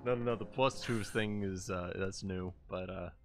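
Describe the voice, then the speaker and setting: Man hosting over loud background music. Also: low-pitched, steady tone, moderate pace. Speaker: game master and livestream host. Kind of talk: casual group chat on a tabletop game livestream.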